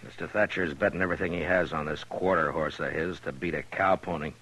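An actor playing a stern, level-headed Old West marshal in a 1950s radio western, speaking in a firm, terse, authoritative way. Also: male voice, rumbling low, steady tone, fast talker, a bit bright, slightly rough, exaggerated voice. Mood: grave. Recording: noisy vintage radio recording.

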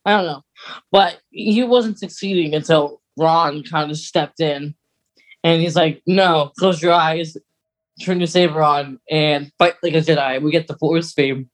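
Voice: mid-range voice, a little slow, rough recording. A podcast co-host chatting casually and informally, recapping in a conversational way. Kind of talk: casual chat.

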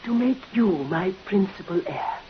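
A woman playing a gentle, frail, middle-aged invalid spinster in an old radio drama, earnest, plaintive and a little hesitant. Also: medium pitch, animated, unhurried, close to an everyday voice. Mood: gently insistent. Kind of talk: 1950s radio drama acting. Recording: muffled old radio recording, faint hiss.

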